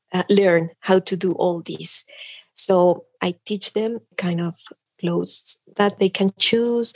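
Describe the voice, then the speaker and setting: A woman's voice: lowish voice, creaky, dry recording. A middle-aged woman from Mexico with a Mexican Spanish accent, earnestly explaining her work as an image consultant in an interview, with frequent restarts. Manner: earnest, many restarts and "like" fillers. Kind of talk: casual interview talk.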